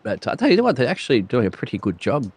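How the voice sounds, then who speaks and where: A man's voice: mid-range voice, wildly swooping pitch, quick pace, breathy edge, no echo. A man co-hosting a live broadcast with casual, bantering commentary.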